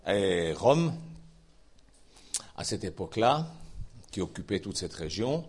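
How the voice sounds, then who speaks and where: A man's voice: sits low, slow, slightly rough. A man from Switzerland with a Swiss French accent, preaching an informal Bible sermon in a folksy, chatty way with asides to his listeners. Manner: folksy, chatty asides, lively rising emphasis.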